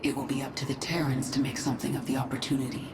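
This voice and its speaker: A woman's voice: extremely low pitch, level tone, rapid-fire, background noise. Echoing, commanding alien swarm queen. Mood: resolute, reflective. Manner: cold, resolute, commanding. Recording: echoey, distant, under loud game music.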